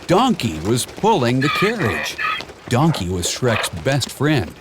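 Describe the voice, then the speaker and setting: A man reading a children's storybook aloud in a measured, expressive storytelling manner, with clear and simple phrasing. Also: mid-range voice, heavy background noise. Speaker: storybook narrator. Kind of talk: scripted children's read-along storybook narration.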